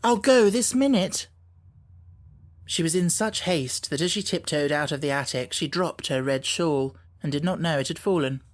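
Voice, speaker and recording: A woman's voice: sits low, moderate pace, hardly any pauses. A woman reading a children's novel as scripted audiobook narration.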